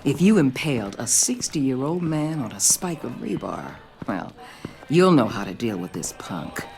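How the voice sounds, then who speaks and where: An actress playing a ruthless middle-aged federal agency boss in a video game, blunt and commanding. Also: female voice, extremely low pitch, big pitch swings, a little slow, gravelly, darkish tone, heavily acted. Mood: menacing.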